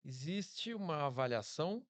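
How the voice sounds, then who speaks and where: A man's voice: a little high, very animated, normal speed, no echo. A man with a Brazilian Portuguese accent, co-hosting an interview in a casual, reflective way. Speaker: podcast co-host, interviewer.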